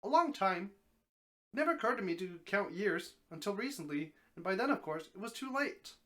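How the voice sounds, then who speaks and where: A man's voice: high voice, animated, moderate pace, fairly bright tone. A man voicing an old, easygoing talking snake in a dramatic story reading, folksy and matter-of-fact. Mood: matter-of-fact, reminiscing.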